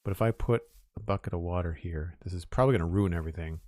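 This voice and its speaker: A man's voice: sits low, quick pace, darkish tone, no room sound, clean studio sound. A young man from Nova Scotia with a Canadian Maritime accent, chatting casually to his audience while playing, rambling and counting aloud. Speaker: streamer. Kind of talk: casual gameplay livestream chat.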